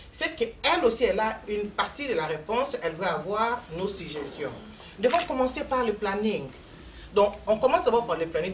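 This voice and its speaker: A woman from Congo, an invited speaker, giving a methodical, explanatory talk at a community meeting. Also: medium pitch. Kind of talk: measured public address. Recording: distant mic, faint room noise.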